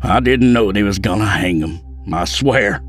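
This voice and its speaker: A man's voice: on the low side, moderate pace, soft background music, voice pushed up, close to an everyday voice. A man voicing a remorseful Southern townsman who speaks in an earnest, pleading way.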